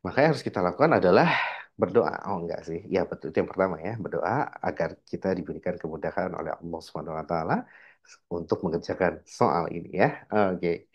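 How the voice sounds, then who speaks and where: A man's voice: low-pitched, quick pace, breathy edge, highs cut off. A man teaching like an informal lecturer, explaining step by step and often asking questions that he answers himself. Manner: asks rhetorical questions and answers them, frequent check-in tags. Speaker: accounting lecturer.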